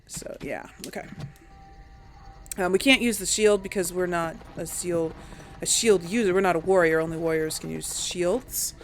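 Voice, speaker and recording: A woman's voice: lowish voice, normal speed, a little hiss or hum. A woman giving casual gameplay commentary, thinking aloud to viewers and often trailing off. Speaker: let's play host.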